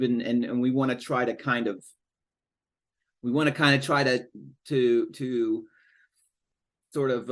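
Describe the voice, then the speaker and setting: Man, band member, middle pitch, rambling, restarts, casual chat. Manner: rambling, searching for words, many restarts. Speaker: musician in a band. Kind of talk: casual podcast interview.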